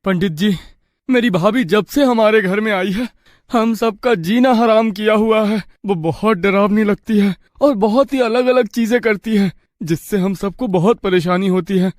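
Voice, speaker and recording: High-voiced earnest young brother-in-law, played by a man. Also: unhurried, no echo, clean studio sound. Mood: worried, respectful.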